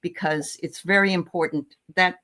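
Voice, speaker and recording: A woman's voice: fairly low pitch, fairly even intonation, moderate pace, sharp tone. Chatty woman with a Boston accent. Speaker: host. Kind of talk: casual livestream chat.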